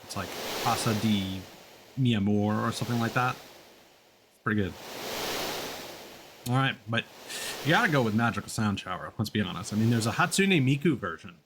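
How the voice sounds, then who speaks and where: A man's voice: lowish voice, animated, moderate pace, pauses often, a bit bright, a little hiss or hum, rough recording. A man thinking aloud in an informal, self-deprecating way while commentating on a gaming livestream. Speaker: video game live streamer. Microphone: headset microphone.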